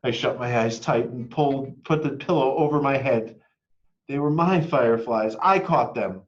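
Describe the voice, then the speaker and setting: A man reading a children's picture book aloud expressively, moving between hushed and emphatic delivery. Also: mid-range voice, normal speed, highs cut off. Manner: dramatic, hushed and emphatic by turns. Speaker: read-aloud storyteller.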